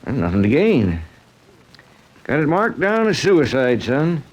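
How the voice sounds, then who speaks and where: An actor playing a shrewd, folksy small-town police chief in a 1940s radio drama, with country turns of phrase. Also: male voice, mid-range voice, huge pitch swings, slow, full character voice, poor audio. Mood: matter-of-fact, guarded.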